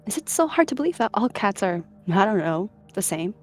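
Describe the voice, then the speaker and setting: A woman's voice: mid-range voice, normal speed, lightly acted. A woman voicing a friendly, chatty young female clan cat in a roleplay, earnest and a little hesitant. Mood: frustrated, earnest. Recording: music behind the voice.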